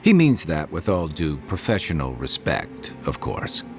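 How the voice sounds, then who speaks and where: A seasoned, protective soldier in a fantasy video game. The voice is very deep and moves freely up and down, easygoing and wry. Music plays faintly behind him. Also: male voice, huge pitch swings, a little slow, full character voice. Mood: calm, wry. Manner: wry, drawling, nautical slang.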